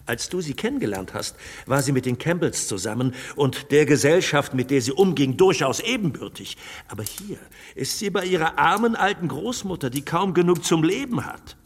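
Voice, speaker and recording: An actor playing a fussy elderly father in a radio play, fretful and insistent, with a husky voice. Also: a little high, big-room sound. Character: fussy elderly gentleman, anxious caring father.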